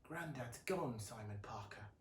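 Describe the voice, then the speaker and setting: Man as a quiet, sullen young girl. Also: mid-range voice, animated, a little slow, roomy sound, recorded from a distance, lightly acted. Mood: sad, subdued. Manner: subdued, quiet.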